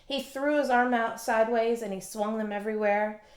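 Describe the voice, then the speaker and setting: A woman reading a children's storybook aloud like a teacher, expressive and emphatic, addressing young listeners. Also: on the high side, normal speed. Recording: echoey room.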